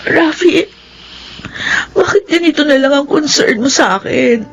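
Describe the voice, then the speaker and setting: A woman's voice: high voice, lively intonation, unhurried, dull audio, faint music behind. A Filipino woman playing an anxious, tearful single mother in a radio drama. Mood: confused, fearful.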